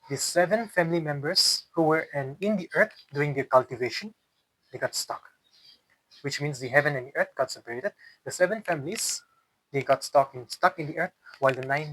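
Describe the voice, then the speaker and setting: A man's voice: a little high, normal speed, a bit bright, no echo, rough recording. A man with a Northeast Indian accent telling a story in an interview, explaining as he goes and often repeating and rephrasing his phrases. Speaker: interviewee telling a folk tale. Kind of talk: unscripted oral storytelling in an interview.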